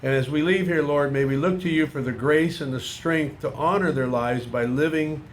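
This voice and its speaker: A man's voice: a little high, moderate pace. Man leading a solemn public prayer. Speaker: prayer leader. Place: outdoor veterans' memorial ceremony. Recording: outdoor ceremony, faint background noise.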